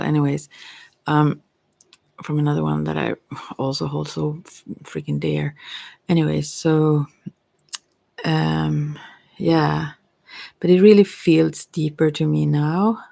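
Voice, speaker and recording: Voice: mid-range voice, vocal fry, warm tone, no echo. An ASMR host with a voice of unclear sex, talking in a hesitant, meandering, reflective way. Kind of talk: ASMR, rambling personal talk.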